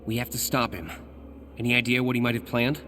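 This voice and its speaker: An actor with an American accent playing an earnest, youthful, slightly hesitant young superhero in a video game. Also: male voice, mid-range voice, flat delivery, a little fast, pushed and bright, soft background music, close to an everyday voice. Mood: determined. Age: young adult. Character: earnest young male superhero with a secret identity. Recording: game audio with clear background noise.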